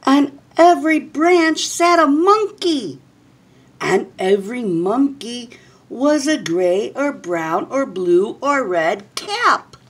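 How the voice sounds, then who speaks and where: A middle-aged woman reading a children's story aloud expressively, with dramatic pauses and drawn-out words. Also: fairly high pitch, expressive, husky. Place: small indoor room.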